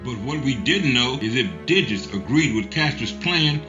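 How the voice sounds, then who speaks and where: A man reading the narration for a radio drama in steady, mid-pitched recap style. The recording sounds dull and lo-fi, and music plays clearly behind him. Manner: measured recap storytelling. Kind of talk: scripted narration.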